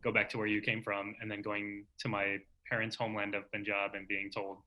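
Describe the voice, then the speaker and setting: Bright, lowish-voiced American male interviewer. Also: completely flat delivery, brisk, rough recording. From Texas, United States. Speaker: interviewer, show host. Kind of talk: casual reflective interview conversation.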